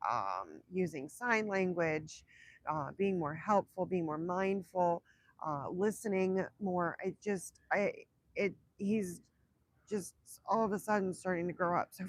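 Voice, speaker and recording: Young woman, raspy, gravelly, low-pitched, unhurried, dry close sound, casual live chat. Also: no room sound. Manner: rambling, self-interrupting, laughs often. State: raspy from illness. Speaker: livestream host.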